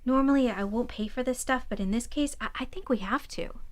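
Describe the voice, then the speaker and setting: A woman's voice: fairly high pitch, a little fast, close to an everyday voice. An actress playing an earnest, caring young woman, a hesitant but practical friend, in an audio drama.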